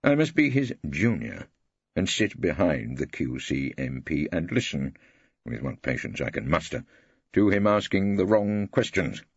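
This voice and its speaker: A man playing a witty, weary old English barrister who narrates in a wry, pompous, husky voice. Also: rumbling low, sing-song, unhurried, dry recording, dull audio, full character voice. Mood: wry, aggrieved. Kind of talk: scripted first-person audiobook narration.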